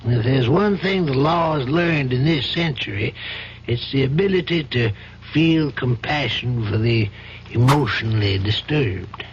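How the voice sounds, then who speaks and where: An actor playing an older, compassionate judge in an old-time radio drama, reasoning in a measured, probing, paternal manner. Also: male voice, mid-range voice, close to an everyday voice. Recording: dull, faintly noisy old radio recording.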